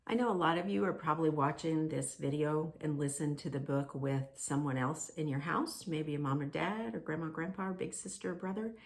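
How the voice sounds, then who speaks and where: A woman storyteller for a children's ministry, gently narrating a storybook and talking to young listeners. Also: sits low, fairly quick, creaky, few pauses.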